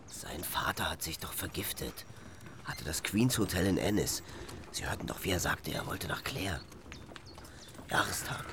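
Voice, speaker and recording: A deep, gravelly man confides gravely in an undertone. It is radio drama, with clear ambient noise behind him. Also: animated, moderate pace, pauses often. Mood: hushed, grave. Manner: hushed, confiding.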